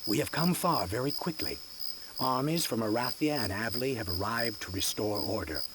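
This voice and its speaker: A man playing an earnest, resolute independence leader in a video game cutscene, speaking in a grave, deliberate, oratorical way. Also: medium pitch, lightly acted. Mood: resolute, urgent. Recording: loud nature noise, chirping insects behind.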